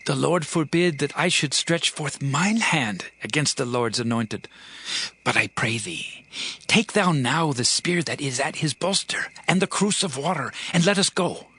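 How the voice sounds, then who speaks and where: An actor playing an earnest, devout ancient warrior leader in a dramatized Bible, speaking in a solemn, declamatory way. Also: male voice, a little high, expressive, unhurried, bright, forceful tone, breathy edge, heavily acted. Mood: fervent, solemn. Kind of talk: dramatized Bible reading, archaic English.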